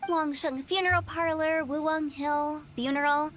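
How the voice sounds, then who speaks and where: A woman's voice: high-pitched, steady tone, unhurried, a bit bright. A woman voicing a cheerful, eccentric young funeral director in a video game, with a breezy, theatrical, salesy manner. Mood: cheery pitch. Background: game music.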